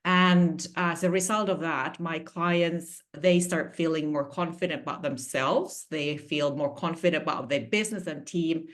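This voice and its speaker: A woman with a Finnish accent explains her coaching work over a video call, her voice sitting on the low side. She talks in a measured, careful way, with phrasing that now and then shows English is not her first language. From Finland. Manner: measured, explanatory, slightly non-native phrasing. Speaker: executive coach guest. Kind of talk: interview answers.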